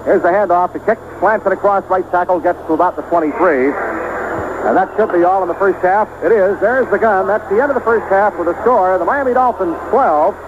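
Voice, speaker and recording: An American man calling live football play-by-play on the radio in clipped short phrases, rising excitedly as the action develops. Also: high-pitched, phone-quality sound. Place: outdoor stadium broadcast position. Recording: noisy band-limited 1970s radio broadcast.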